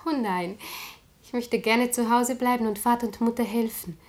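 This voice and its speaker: A woman's voice: on the high side, steady tone, normal speed, close to an everyday voice. An actress playing a shy, dreamy young girl in a German radio drama, speaking earnestly and simply with childlike wistfulness.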